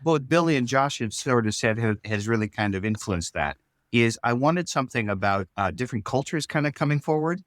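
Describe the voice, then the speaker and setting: A man's voice: mid-range voice, lively intonation, a little fast, fairly bright tone, no room sound, studio quality. A man giving a casual interview as a writer, reflective and hesitant, often restarting his phrases. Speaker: writer-creator interviewed about his show.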